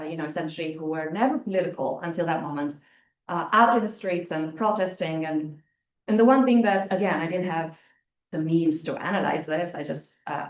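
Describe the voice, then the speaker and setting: A woman in her forties who grew up in Albania, giving a conversational conference talk with frequent restarts. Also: fairly low pitch. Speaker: academic panel speaker. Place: lecture room. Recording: echoey room, muffled lo-fi sound.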